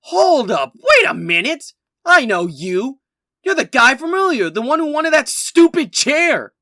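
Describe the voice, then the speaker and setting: A man's voice: very high voice, sing-song, a little slow, exaggerated voice. A man playing a sarcastic, jittery, foul-mouthed captive in an audiobook, with hesitant, wisecracking delivery. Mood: shocked, indignant. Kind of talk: audiobook character acting. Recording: echoey hall reverb, loud music behind. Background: music.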